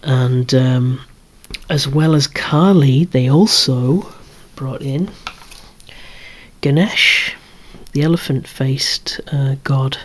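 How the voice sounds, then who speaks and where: Breathy whispering ASMR collector. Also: mid-range voice, very slow. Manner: rambling, chatty, lightly wry asides. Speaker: toy collector presenting own collection. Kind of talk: whispered ASMR show-and-tell of a toy collection.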